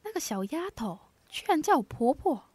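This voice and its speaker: A woman's voice: sits high, big pitch swings, moderate pace, no room sound. A woman voicing a haughty, menacing old witch in an audio drama. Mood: indignant.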